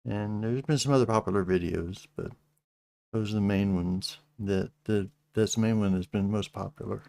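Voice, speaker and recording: A middle-aged man with a Texas accent, hosting a casual livestream chat, speaking slowly with many halting restarts. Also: on the low side, vocal fry, a little mellow, dry recording. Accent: Texan. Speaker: channel host.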